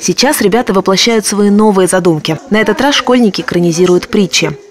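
A woman's voice: medium pitch, a little fast. A woman news reporter reading scripted narration for a television report.

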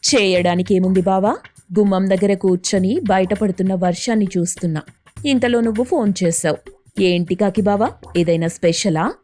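A woman's voice: mid-range voice, normal speed, mellow, faint music behind, voice pushed up, natural voice. A woman voicing an eager, chatty, food-loving pigeon in a children's cartoon story. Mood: friendly, curious.

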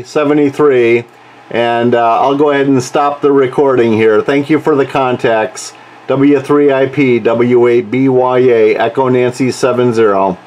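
A man working as an amateur radio operator, narrating his contacts indoors into a camcorder and repeating words and call signs. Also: mid-range voice. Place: indoors, room with radio computer station. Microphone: camcorder held or set near the desk. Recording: steady background noise, radio hiss. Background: radio noise.

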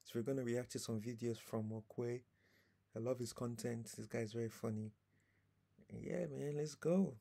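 Laid-back guy reacting to clips at home, bit of fry in his voice. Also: medium pitch, normal speed, dark tone, a little hiss or hum. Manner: laid-back, laughing along. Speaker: reaction video host. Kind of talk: casual reaction commentary.

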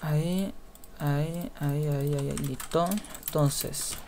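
A young man with a Chilean accent, giving casual gameplay commentary in a quiet mutter that repeats words in runs. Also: on the high side, flat delivery, slow pace, vocal fry, slight background noise. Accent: Chilean Spanish. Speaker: gaming YouTuber.

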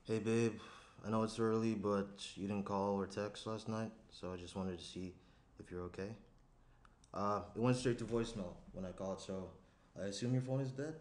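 A man's voice: on the low side, fairly even intonation, normal speed, darkish tone, close to an everyday voice. A young man playing a caring, chatty fiancé in a table read. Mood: caring, hurried. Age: young adult. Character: caring, chatty fiancé leaving a phone message. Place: small room at a round table. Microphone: shared desk microphone. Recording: roomy indoor echo.

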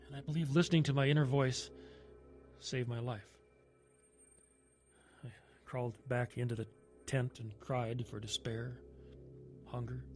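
Music plays behind a haunted male survivor of the wilderness , played by an actor. He recounts his terror in a mid-pitched voice, halting and then swelling dramatically, in the manner of an audio horror drama. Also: darkish tone, close to an everyday voice. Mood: dread, awe.

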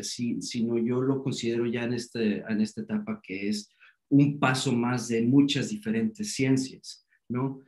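A man's voice: mid-range voice, a little mellow. A man giving an informal scientific talk over a video call, peppering his speech with "este" fillers. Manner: casual, filler-heavy, says "este" a lot. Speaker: guest lecturer. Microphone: video call microphone.

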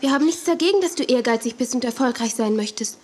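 A woman's voice: a little high, animated, fast, a little mellow, a little hiss or hum, natural voice. A woman playing a kind, encouraging young television contestant in a children's audio drama.

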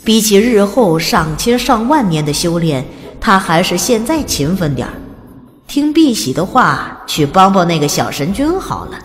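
A woman narrating an audiobook with expressive, gently lilting storytelling. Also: mid-range voice, normal speed, long reverb tail.

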